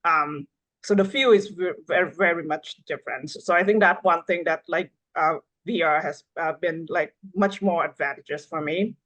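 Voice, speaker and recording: A woman's voice: lowish voice, fairly bright tone, low-quality recording. Woman from Vietnam. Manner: halting, frequent fillers and restarts. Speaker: neonatology fellow. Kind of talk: panel discussion.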